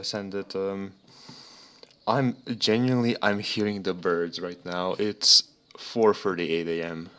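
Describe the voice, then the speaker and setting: Young male podcast co-host, slightly low pitch, profane, meandering, off-the-cuff delivery. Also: bright, forceful tone. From Serbia. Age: young adult. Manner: rambling, profane. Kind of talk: casual motorsport podcast chat. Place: remote voice chat.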